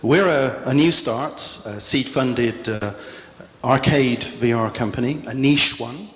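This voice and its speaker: A middle-aged man giving a relaxed conference talk, self-deprecating and full of "uh" fillers. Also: mid-range voice, takes it slowly. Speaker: conference speaker. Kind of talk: conference presentation. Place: large conference hall. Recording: echoey hall with faint noise.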